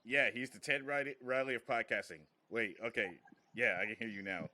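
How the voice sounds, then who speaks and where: A man's voice: mid-range voice, a little fast, sharp tone. A man hosting a podcast, chatting casually and informally with some swearing. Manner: informal, profane. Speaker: podcast host.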